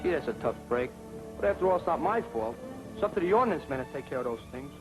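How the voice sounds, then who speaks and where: A man's voice: fairly high pitch, lively intonation, fast, natural voice, rough recording. An actor playing a careless, cocky young male navy pilot who whines and blames others, in a 1940s training film. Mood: excusing. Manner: brash, whiny, blames others. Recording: old 1940s film soundtrack with music.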